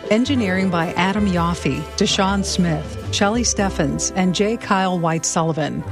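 Female announcer, slightly low register, scripted credit read over a music bed. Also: measured pace. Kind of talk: scripted narration. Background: music behind the voice.

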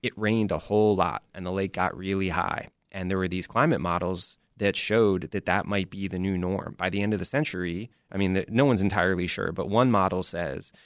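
Man, interview guest, low voice, conversational explaining, dry studio-close sound. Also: fairly even intonation, a little fast, hardly any pauses, no echo. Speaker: interview guest, audio drama producer.

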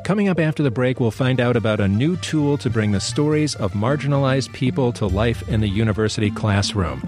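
A man hosting a public radio interview show, asking measured questions with restarts. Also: medium pitch, animated, music in the background. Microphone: studio microphone.

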